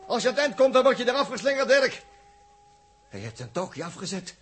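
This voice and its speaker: An actor playing an anxious spaceship crewman, urgent and excitable, in 1960s radio drama style. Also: very high-pitched, a little fast, full character voice. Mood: worried, urgent. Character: anxious male spaceship crewman watching over comrades. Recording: noisy old 1960s radio recording.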